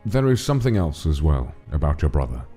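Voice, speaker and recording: A man voicing a gentle, solemn, fatherly giant monster king in a comic dub, speaking in a formal, measured way. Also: rumbling low, sing-song, soft background music.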